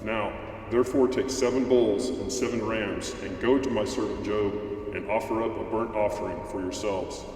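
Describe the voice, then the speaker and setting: A man playing a stern, all-powerful God in an amateur scripture drama, speaking in a solemn, commanding, deliberate way. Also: on the low side, steady tone, a little mellow, close to an everyday voice. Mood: stern, angry. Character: God, stern all-powerful male deity rebuking mortals. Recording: very echoey hall, distant, faint noise.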